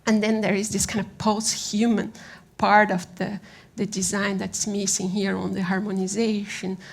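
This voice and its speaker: Gravelly-voiced Brazilian woman presenting. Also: medium pitch, level tone. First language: Portuguese. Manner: halting, conversational, often checks with "right?". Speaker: conference speaker on design. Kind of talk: informal conference presentation.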